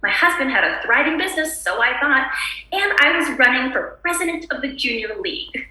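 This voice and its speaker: A very bright, piercing suburban housewife played by a woman at a table read. She is frazzled and over-polite, swinging widely in pitch as she insists with brittle cheer. The room rings heavily around her. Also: lively intonation, a little fast, full character voice. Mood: frantic, exasperated. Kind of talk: screenplay table read acting. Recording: very echoey room recording.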